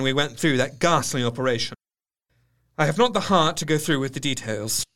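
An actor playing a grave, shaken Victorian doctor, narrating a diary in measured, literary style for an audio drama. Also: male voice, medium pitch, a bit bright, lightly acted. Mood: somber. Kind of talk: dramatic scripted first-person narration.

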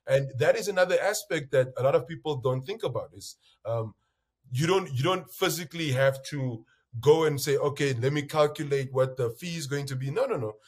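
Loud, lively Namibian man. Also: a little high, a little fast. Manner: acts out bar conversations, lively quoted voices. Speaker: bitcoin entrepreneur guest. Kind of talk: animated interview storytelling.

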